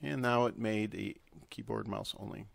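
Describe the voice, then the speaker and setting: A man giving an unscripted screen-recorded software tutorial, hesitating often as he explains each step. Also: fairly low pitch, steady tone, normal speed, creaky, no room sound.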